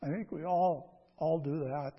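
A man preaching a conversational sermon in a folksy, halting way, repeating phrases and asking rhetorical questions. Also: fairly high pitch, steady tone, breathy edge, highs cut off. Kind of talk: conversational sermon with scripture reading.

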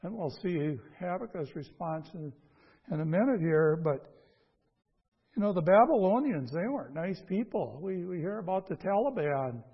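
A man preaching a conversational sermon in a folksy, halting way, repeating phrases and asking rhetorical questions. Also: sits high, expressive, slightly rough, muffled recording. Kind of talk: conversational sermon with scripture reading.

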